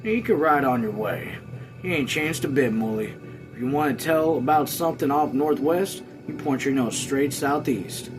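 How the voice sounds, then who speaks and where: A man's voice: mid-range voice, normal speed, music in the background, close to an everyday voice, rough recording. A man reading aloud as a wry, teasing young country man with folksy, needling speech.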